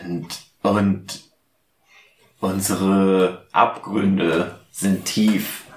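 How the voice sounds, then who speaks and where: A tipsy man with a lowish voice chats on a casual podcast. He talks very slowly, hesitating as he searches for words. The room sounds echoey. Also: flat delivery. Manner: halting, hesitant phrasing. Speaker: podcast host. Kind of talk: casual, bantering podcast chat.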